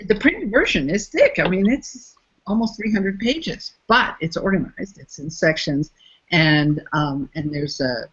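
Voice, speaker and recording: A woman's voice: fairly low pitch, lively intonation, a little slow, fairly bright tone, husky, highs cut off. A woman from the United States, a facilitator being interviewed, speaking thoughtfully and searching for words as she goes. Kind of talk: reflective interview talk.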